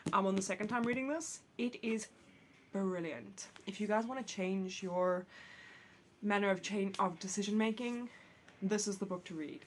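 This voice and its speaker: A woman's voice: lowish voice, a little hiss or hum. Chatty woman with a slight cold. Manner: chatty, candid, restarts and swears casually. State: has a cold, a bit phlegmy. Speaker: vlogger. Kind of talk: casual talk to camera. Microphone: camera mic, reading with books on her lap.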